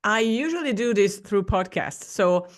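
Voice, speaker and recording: A woman's voice: mid-range voice, lively intonation, measured pace. A woman in her forties from Hungary, a native Hungarian speaker with a Hungarian accent, chatting casually as a podcast guest and often restarting her sentences. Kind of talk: casual interview talk.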